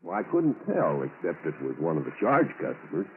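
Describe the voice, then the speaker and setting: An actor playing a talkative, obliging small-town storekeeper in a 1940s radio drama, with a folksy manner. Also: male voice, deep voice, wildly swooping pitch, fairly quick, phone-quality sound, heavily acted. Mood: chatty, helpful. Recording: noisy, band-limited 1940s radio recording.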